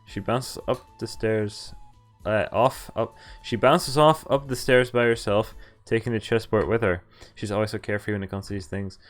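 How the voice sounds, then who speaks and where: A man's voice: fairly low pitch, fairly even intonation, moderate pace. A man doing a casual game playthrough, reading text aloud and breaking off for wry, jokey asides. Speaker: game streamer. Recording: music behind the voice. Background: visual novel game music.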